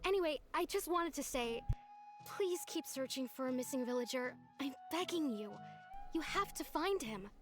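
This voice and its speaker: A worried young villager. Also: female voice, high-pitched, expressive, normal speed. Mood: pleading. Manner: anxious and imploring. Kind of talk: video game voice acting. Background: game music.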